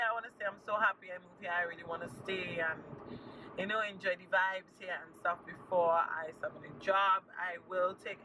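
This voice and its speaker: A woman's voice: mid-range voice, muffled recording. A young woman from Trinidad and Tobago, chatting upbeat to camera and repeating words for emphasis as she gives encouraging advice. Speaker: vlogger. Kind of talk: casual chat to camera. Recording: distant mic with loud background noise.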